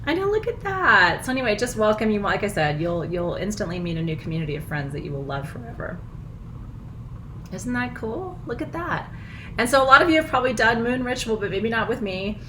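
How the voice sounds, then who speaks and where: Hoarse, bubbly woman. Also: medium pitch, very animated, fairly quick, fairly bright tone, slight background noise, rough recording. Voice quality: froggy, husky. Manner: bubbly, effusive, laughs often. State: hoarse, nearly lost voice. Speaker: spiritual teacher hosting a live full moon ritual. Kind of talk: casual livestream chat. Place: small house, indoors.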